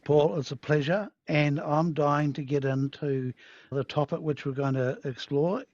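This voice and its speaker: A man's voice: a little high, steady tone, measured pace, a little mellow, no echo. Chatty self-deprecating male podcast host. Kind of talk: podcast interview. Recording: dull, dry, lo-fi sound.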